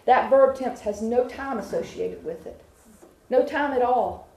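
A woman's voice: a little high, measured pace, mellow. A woman preaching a sermon to a congregation, building her points with rhythmic repetition. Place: church with a congregation. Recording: echoey room.

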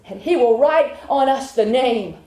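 A woman's voice: on the high side, expressive, a little slow, dark tone. A woman preaching a sermon to a congregation, building her points with rhythmic repetition. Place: church with a congregation. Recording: echoey room.

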